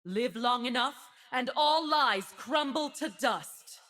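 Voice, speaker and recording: Righteous angelic female judge, unhurried delivery, solemn and grand, ethereal voice effect, fantasy game. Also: high-pitched, expressive, fairly bright tone, exaggerated voice. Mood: fervent resolve. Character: stern righteous winged divine female judge of evil. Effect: subtle ethereal effect on the voice. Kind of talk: fantasy video game voice lines.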